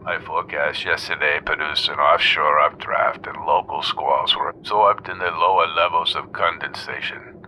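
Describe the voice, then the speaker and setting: A man voicing a pompous male weather forecaster with a low, murmuring voice. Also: fairly even intonation, moderate pace, pushed and bright, a little hiss or hum, exaggerated voice, poor audio.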